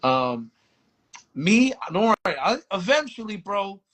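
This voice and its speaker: A man's voice: sits high, sing-song, a little slow, a crowd in the background. A man from Canada chatting as a podcast guest, laid-back, often restarting his sentences. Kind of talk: casual chat.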